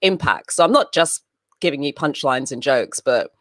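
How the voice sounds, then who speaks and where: Low-voiced, laughing woman host. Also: moderate pace, dry recording, clean studio sound. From grew up in Papua New Guinea, then the UK. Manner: lively storyteller who laughs often. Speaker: interview guest, event host and moderator. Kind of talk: casual interview chat.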